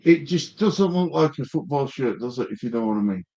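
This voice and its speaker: A man's voice: mid-range voice, expressive, a little fast, dark tone, dull audio. A man co-hosting a casual football chat, talking in an easygoing, agreeable way. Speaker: co-host.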